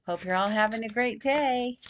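A woman in her sixties reading a children's storybook aloud in a deliberate, expressive way for young listeners. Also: on the high side, a little hiss or hum. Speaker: grandmother host reading picture books to children. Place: small plain room at home, seated in armchair.

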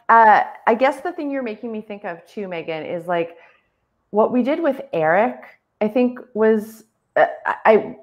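A woman's voice: fairly low pitch, lo-fi sound. A woman talking casually as a theatre artist on a panel, speaking over a video call from a room at home.

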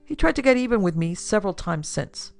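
Narrator portraying an older gentleman, shy, mild, measured, apologetic, creaky voice, storybook dialogue. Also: mid-range voice, very animated, voice pushed up. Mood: mild, explaining.

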